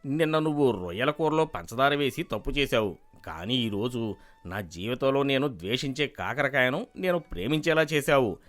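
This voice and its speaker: A man voicing a commanding village landlord with a grand, emphatic delivery in an animated moral story. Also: medium pitch, normal speed, faint music behind, close to an everyday voice. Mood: solemn, grateful.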